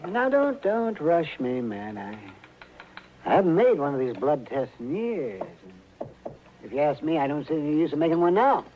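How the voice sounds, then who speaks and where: An excitable old country doctor, fussy and crotchety. His voice sits fairly high and leaps up and down. It comes from a dull old radio drama recording with light noise. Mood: testy, fussing. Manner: fussy, testy, halting muttering. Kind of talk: 1950s radio western acting.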